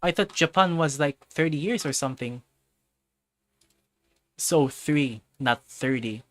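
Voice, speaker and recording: A man's voice: a little high, unhurried, dry recording. A man from the Philippines hosting a casual livestream, rambling, correcting himself and circling back to his point. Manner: frequent restarts and self-corrections. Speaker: livestream host. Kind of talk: casual livestream chat, answering viewers.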